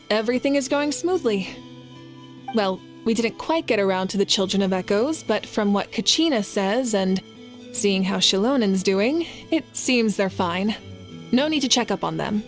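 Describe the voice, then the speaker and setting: A woman's voice: mid-range voice, expressive, moderate pace, faint music behind, close to an everyday voice. A woman playing a confident, easygoing young warrior leader in a video game, playful and self-assured. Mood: relaxed.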